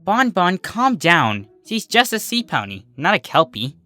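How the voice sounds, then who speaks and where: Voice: mid-range voice, very animated, a little slow, sharp tone, pitched above the performer's own voice. A storyteller playing a flustered, exasperated young female unicorn with an emphatic manner. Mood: calming.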